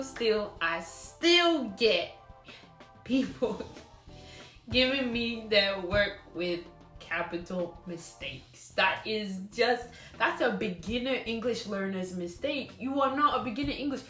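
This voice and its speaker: A woman lectures trainee teachers in a blunt, scolding way, hammering points home by repeating words. Her voice sits at an ordinary middle pitch. She speaks in an echoey room, with faint music playing behind her. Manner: blunt, scolding, emphatic repetition.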